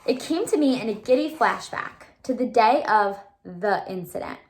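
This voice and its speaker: Higher-pitched woman reading a children's book aloud. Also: slight background noise. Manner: animated storytelling, pausing to explain and wonder aloud. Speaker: read-aloud teacher.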